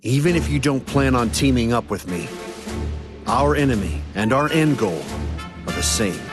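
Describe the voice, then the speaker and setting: A man voicing an earnest, protective young hero in an anime game, serious, measured and firm. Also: mid-range voice, expressive, lightly acted. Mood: resolute. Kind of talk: dramatic anime game voice acting. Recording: music behind the voice.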